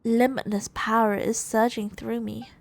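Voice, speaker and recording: A woman gaming streamer doing casual let's play commentary, reading dialogue aloud with short, unhurried reactions. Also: fairly high pitch, little pitch movement, creaky.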